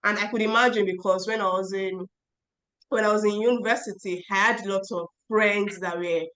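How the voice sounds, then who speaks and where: A woman's voice: medium pitch, fairly even intonation, normal speed, fairly bright tone, rough recording. Chatty woman host. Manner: chatty, laughs between questions. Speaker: podcast host. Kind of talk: interview questions, casual chat.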